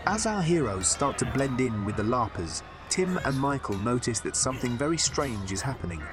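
A man's voice: medium pitch, sing-song, normal speed, close to an everyday voice. A man playing the dramatic narrator of a tongue-in-cheek fantasy radio play, reading in a theatrical, suspenseful style. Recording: narration under loud music.

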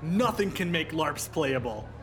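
A man's voice: on the high side, very animated, measured pace, fairly bright tone, a little hiss or hum. A man playing a panicky, exasperated and sceptical game designer sidekick in a comic radio play. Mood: panicked. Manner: incredulous, fretful.

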